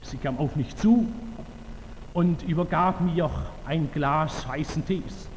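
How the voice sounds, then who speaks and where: A man preaching a sermon to a large audience, slow and emphatic with fervent declamation and wry humor. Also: a little high, a little mellow, slight background noise. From Württemberg, Germany. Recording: reverberant hall, faint ambience.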